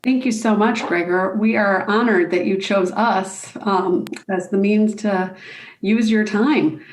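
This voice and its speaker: A woman's voice: medium pitch, steady tone, slow pace, rough recording. A woman introducing a new member on a virtual club meeting, gracious and formal. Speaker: meeting presenter. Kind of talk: introducing a speaker on a video call. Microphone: video call.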